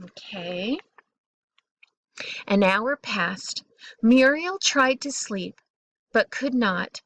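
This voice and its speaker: Expressive woman storyteller with lively intonation. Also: fairly high pitch, takes it slowly, dry recording, rough recording. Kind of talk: children's bedtime story read-aloud.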